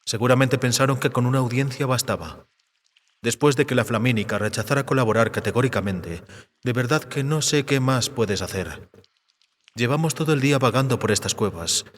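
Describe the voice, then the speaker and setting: A man voicing an urbane, cautious, learned male vampire in an audiobook drama, measured, erudite and resigned. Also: mid-range voice, close to an everyday voice. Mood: measured, sceptical. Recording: cave-like reverb.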